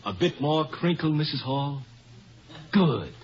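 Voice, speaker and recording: A young, smitten magazine photographer in a 1950s radio comedy. He is brisk and bossy yet polite, with a very lively pitch. The sound is muffled under plain hiss. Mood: brisk directing. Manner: polite but bossy, flattering. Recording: muffled, noisy old radio recording.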